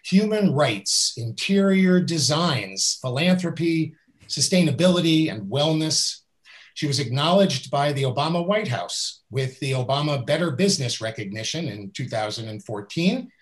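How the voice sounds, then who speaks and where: A man's voice: fairly high pitch, lively intonation, moderate pace. A middle-aged man hosting a video-call interview, reading a guest introduction in an upbeat, admiring way. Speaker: interview host. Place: indoors with a virtual background.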